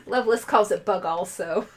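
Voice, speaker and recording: A woman co-hosting a retro game stream, excitable and swearing freely. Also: mid-range voice, a little hiss or hum. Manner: excitable, swearing, laughing asides. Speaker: stream co-host. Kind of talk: casual game stream banter.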